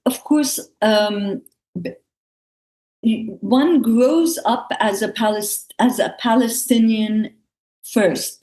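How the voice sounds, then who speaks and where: A woman's voice: mid-range voice, darkish tone. A woman from Lebanon, speaking reflectively as a panel guest and often searching for words. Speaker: theatre artist and actress, panel guest. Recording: echoey room sound.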